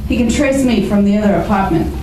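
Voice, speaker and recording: Casting: sarcastic gangster's moll played by a woman, medium pitch, old radio crime play, recorded far from mic. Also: fast talker, lightly acted. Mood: wary. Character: wisecracking crook's moll, sardonic and worried. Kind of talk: 1940s-style radio crime drama acting. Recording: echoey, distant, noisy hobby radio recording.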